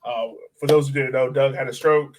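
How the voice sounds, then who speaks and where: A man's voice: medium pitch, steady tone, fairly quick, slight background noise. A man co-hosting a sports podcast in casual, informal, enthusiastic fan chat. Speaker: podcast co-host.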